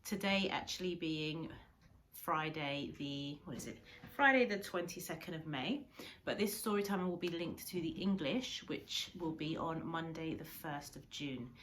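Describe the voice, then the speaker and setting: A woman's voice: deep voice, measured pace, a bit bright, a little hiss or hum. A woman, a primary school teacher, talking informally to her pupils for a filmed story time, addressing them directly. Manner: addresses children directly with questions.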